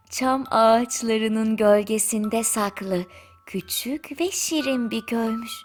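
A woman narrating a children's bedtime fairy tale in a gentle, soothing storytelling manner, with deliberate pauses. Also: mid-range voice, slight background noise. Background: music.

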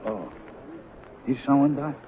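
A man's voice: mid-range voice, expressive, unhurried, natural voice. A man playing a bewildered, blunt but kindly diner boss in a 1970s radio drama, full of colloquial questions and exclamations. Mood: puzzled concern. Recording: tinny, hissy vintage radio broadcast.

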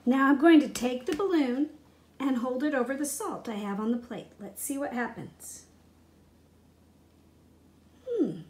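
A middle-aged woman teacher speaking in simple, clear phrases to young children, as in a read-aloud or classroom demonstration. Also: high-pitched, expressive, measured pace, pauses often, a little mellow. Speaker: teacher making videos for pupils. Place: indoors at home, living room and kitchen. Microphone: device mic at about arm's length.

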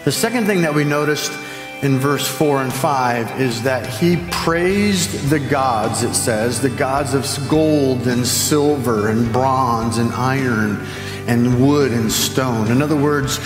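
A man in his fifties preaching a sermon from a church stage, gesturing and slowing down to stress key phrases. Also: a little high. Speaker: pastor. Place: church stage, large hall. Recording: echoey hall with music behind.

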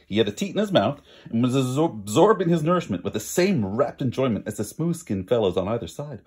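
Male storybook reader: very lively intonation, slightly raspy tone, conversational asides to the listener. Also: medium pitch. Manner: pauses to chat with listeners and explain words. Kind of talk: animated read-aloud storytelling.

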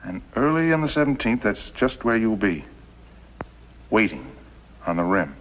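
Cold scheming american cowboy bad guy, lowish voice, slow deliberate questions, muffled 50s tv sound. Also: sing-song, slight background noise. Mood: cool scheming. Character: cool, calculating male villain plotting murder, smugly patient. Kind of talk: 1950s TV western acting. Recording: dull old 1950s television soundtrack.

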